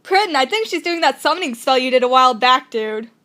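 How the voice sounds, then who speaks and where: A woman's voice: high voice, animated, fast talker, pushed and bright. A woman reading the part of a puzzled young man lurking and talking casually in a story.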